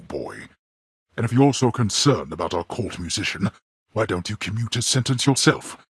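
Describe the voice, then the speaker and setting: Gruff old god-king, game villainy, very low bass, haughty, archaic formal diction, close dry sound. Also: no room sound. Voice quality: gravelly, husky bass. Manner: haughty, curt, commanding, weighty formal diction. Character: stern, imperious god-king of the underworld, gruff old father. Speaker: ruler of the underworld. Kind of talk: formal, archaic video game character acting.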